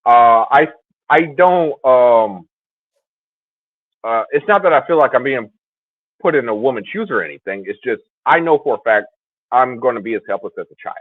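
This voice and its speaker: A man's voice: medium pitch, lively intonation, moderate pace, pauses often, no room sound. A man on a panel, talking hesitantly with repeated stammers and apologies. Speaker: panel participant. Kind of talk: casual panel talk. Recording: dry tinny phone-like line.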